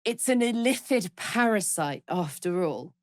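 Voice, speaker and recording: A dry, close recording of a brash warrior woman from a fantasy video game. She is salty and blunt but big-hearted and earnest. Her delivery is unhurried, with lively, wide swings in pitch. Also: no room sound. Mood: candid, reflective.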